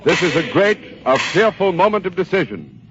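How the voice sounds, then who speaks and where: An actor playing a solemn radio reporter, giving formal, measured commentary in the style of golden age radio. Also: male voice, high-pitched, big pitch swings. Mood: hushed suspense. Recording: dull vintage radio broadcast, crowd murmur.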